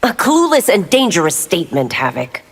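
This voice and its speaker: A woman's voice: lowish voice, very animated, normal speed, a little hiss or hum. Stern female constable. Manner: stern, clipped.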